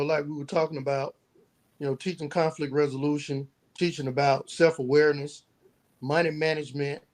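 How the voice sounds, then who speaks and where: An American man with a high-pitched voice speaks as a guest on a podcast. He answers conversationally and earnestly, stacking his points one after another. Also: darkish tone. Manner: earnest, building points in lists. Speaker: podcast guest, youth mentor and nonprofit founder.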